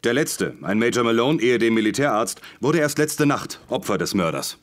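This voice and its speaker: Guy as a stiff middle-aged military cop in a radio play, medium pitch, forceful and proper. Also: animated, moderate pace, close to an everyday voice. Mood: earnest, grave. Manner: forceful, formal, deferential. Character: middle-aged male army major, stiff, earnest military policeman. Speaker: actor in an audio drama.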